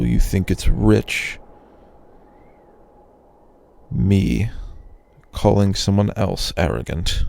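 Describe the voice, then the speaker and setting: A man playing an arrogant, possessive male blue dragon in a slow roleplay ASMR monologue, with a gravelly, breathy growl and a haughty, rambling manner. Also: low voice, heavily acted. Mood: amused. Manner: haughty, rambling, with pauses and sighs.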